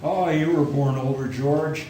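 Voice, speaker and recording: An actor playing a gentle, principled older father, speaking in a measured way in a stage radio play. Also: a little high, steady tone, a little hiss or hum, close to an everyday voice. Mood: fatherly, hopeful. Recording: echoey theatre, faint music behind.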